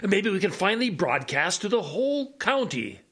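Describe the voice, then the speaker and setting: Male actor as older blinded major, radio drama, slightly high pitch, very lively intonation, halting, excitable, hopeful. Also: slightly rough, full character voice. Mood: wistful, rambling. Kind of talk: audio drama acting.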